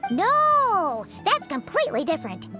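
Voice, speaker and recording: Squeaky, lively little fairy sidekick. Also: female voice, measured pace, music in the background, heavily acted. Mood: chirpy, candid. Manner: exclamatory, childlike, explains things simply. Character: tiny chirpy childlike female fairy companion, candid and friendly. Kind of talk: scripted video game dialogue.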